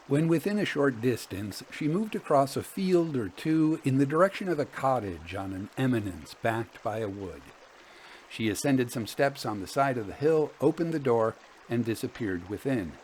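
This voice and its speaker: Male storyteller for Victorian ghost tales, measured and deliberate, with lively rises and falls in pitch. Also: slight background noise, rough recording. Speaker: host and reader of a ghost story podcast.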